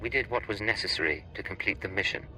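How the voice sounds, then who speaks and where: An actor playing a cold, ruthless undercover agent in a video game, curt and commanding. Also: male voice, on the low side, fast, pushed and bright, faint music behind, poor audio. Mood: cold, stern.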